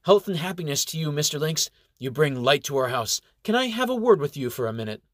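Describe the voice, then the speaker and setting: Brief: anxious young female fire elf, halting broken English, raised and animated, read by a man. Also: on the high side, dry recording, clean studio sound, pitched above the performer's own voice. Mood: earnest, anxious. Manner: broken English, foreign lilt.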